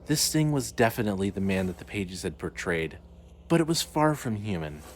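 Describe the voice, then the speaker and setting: Male narrator for horror fiction: fairly low voice, scripted storytelling, faint ambience underneath. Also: very animated, normal speed, slight background noise.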